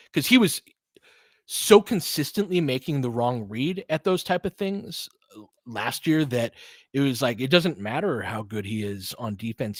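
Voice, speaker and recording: Male basketball commentator, mid-pitched, informal and slangy, breaking down tactics as play goes on. Dry, close recording. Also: breathy edge, dry recording. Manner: conversational, slangy, explains tactics in detail. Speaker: play-by-play announcer and analyst.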